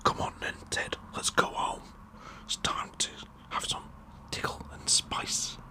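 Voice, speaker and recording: A man's voice: measured pace, sharp tone. A British man voicing a bossy, brash wife who orders her husband about, all in a whisper, for comedy ASMR. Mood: brash, commanding.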